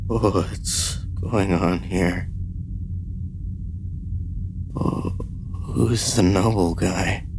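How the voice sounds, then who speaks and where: An actor playing a cagey, brash crewman in a sci-fi audio drama, with a casual, halting way of talking. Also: deep voice, steady tone, vocal fry, noisy background, exaggerated voice. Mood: wary.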